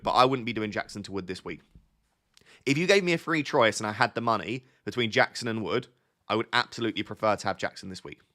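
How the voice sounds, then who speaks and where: A man's voice: fairly low pitch, sharp tone. A man hosting a casual live stream, answering viewer chat. He has a slight cold and sounds a little sniffly, thinking aloud and often restarting his sentences.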